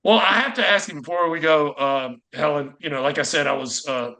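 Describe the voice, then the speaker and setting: A male podcast host with a fairly high, very bright voice. He chats informally and wanders a bit, as in a relaxed interview. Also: fairly quick. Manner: chatty, informal, rambling. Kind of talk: casual conversational interview.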